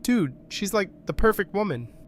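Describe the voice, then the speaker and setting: A man's voice: high voice, sing-song, normal speed, a little hiss or hum. A man playing a nosy, easily impressed slacker with casual slangy speech in an audio drama. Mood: sympathetic, amazed.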